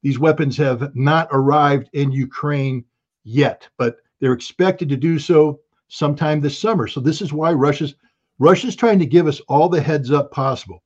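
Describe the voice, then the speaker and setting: A man's voice: fairly high pitch, moderate pace, darkish tone, muffled recording. A man giving blunt, emphatic and scornful political commentary as an interview guest.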